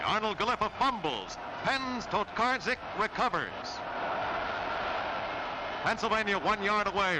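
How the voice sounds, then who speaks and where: An American man delivering punchy, dramatic sports commentary in the style of a 1940s newsreel. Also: high-pitched. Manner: punchy, dramatic present-tense phrasing. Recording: vintage newsreel soundtrack, very noisy. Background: loud noise.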